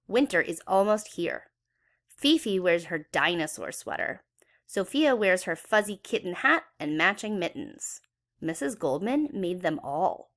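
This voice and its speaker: A woman's voice: on the low side, big pitch swings, measured pace. A woman reading a children's story aloud expressively, with dramatic emphasis for young listeners. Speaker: children's book read-aloud educator.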